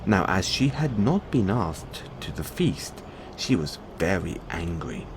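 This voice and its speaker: A man reading a fairy tale aloud as a bedtime storyteller, in an expressive scripted narration. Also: sits low, takes it slowly, noisy background.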